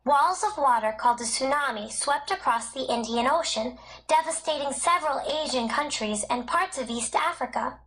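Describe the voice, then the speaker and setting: A woman with a high voice narrates educational text. Her delivery is scripted and measured, with every word carefully enunciated. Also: a bit bright, rough recording. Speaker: textbook narrator.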